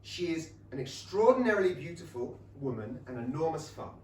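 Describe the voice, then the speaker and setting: An actor playing an eager, naive young Regency gentleman in love, in a stage comedy. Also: on the high side, sing-song, a little fast. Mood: infatuated, flustered. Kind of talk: period comedy stage acting. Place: small London theatre. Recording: echoey theatre, distant mic, faint noise.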